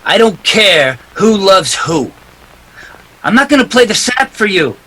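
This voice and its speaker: A man's voice: high voice, sing-song, a little slow, sharp tone, exaggerated voice, low-quality recording. A man acting a hard-boiled, unyielding private detective in 1940s radio drama style, tough and emphatic. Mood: hard, insistent.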